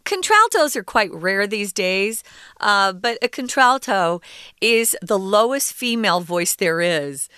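A woman co-hosting an English lesson podcast, explaining words patiently in a relaxed conversational way with fillers. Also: medium pitch, measured pace, clear, resonant tone, no room sound, clean studio sound. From United States. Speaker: co-host teaching English.

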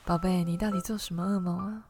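A woman's voice: lowish voice, steady tone, quick pace, close to an everyday voice. A woman acting an emotive young woman over music. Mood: tender, soothing. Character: young woman, defiant captive and doting girlfriend. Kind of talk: audio drama acting.

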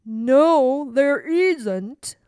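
A woman's voice: sits high, animated, extremely slow, a little mellow, dry recording, clean studio sound, heavily acted. A woman voicing a patient but firm storybook king and father, emphatic and reassuring. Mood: exasperated, reassuring.

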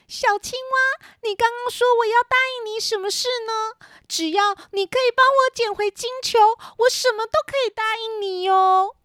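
A woman's voice: extremely high pitch, normal speed, pushed and bright, dry recording, studio quality, voice pushed up. A woman voicing a spoiled, willful young princess with a petulant girlish manner in a children's audio drama. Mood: coaxing.

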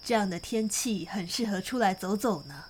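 A woman's voice: mid-range voice, lively intonation, normal speed, slight background noise, lightly acted. A woman playing a sociable middle-aged governess in a radio drama, speaking in a chatty manner. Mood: chatty, dreamy. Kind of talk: Mandarin radio drama acting.

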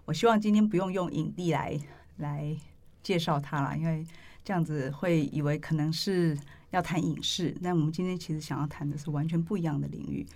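A middle-aged woman with a Taiwanese Mandarin accent hosts a podcast interview, telling stories conversationally in deliberate, halting phrases. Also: low voice, steady tone, creaky, a little mellow. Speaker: podcast host, publishing editor-in-chief. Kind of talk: conversational interview with reflective storytelling.

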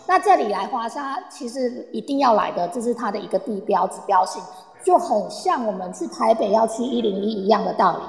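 A woman's voice: a little high, animated, brisk, gravelly, a little mellow. A woman playing a chatty, eager tour guide who explains patiently and coaxingly in a radio play. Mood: eager, explanatory. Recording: echoey reverberant room sound.

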